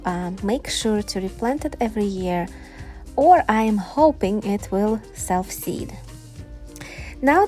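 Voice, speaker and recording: Slow-paced Ukrainian-accented woman. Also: mid-range voice, mellow. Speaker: gardening video host. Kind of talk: informal explanatory vlog narration.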